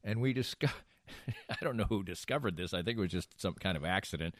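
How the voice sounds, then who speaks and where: A man's voice: sits low, expressive, fairly quick, dry recording, studio quality. An older man hosting a casual podcast, telling anecdotes in a rambling way and restarting his sentences mid-thought. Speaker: podcast host.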